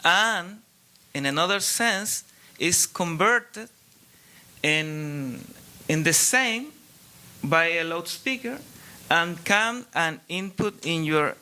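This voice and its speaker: A man from Chile with a Chilean Spanish accent, a non-native speaker asking a question from the audience, halting and searching for English words. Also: fairly high pitch, lively intonation, pushed and bright, clear, resonant tone. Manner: hesitant, searching for English words, many restarts. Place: large hall, audience Q&A.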